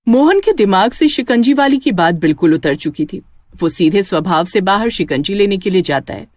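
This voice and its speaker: A woman's voice: medium pitch, animated, normal speed, dry recording, clean studio sound. A woman storyteller giving scripted, dramatic narration of a tale.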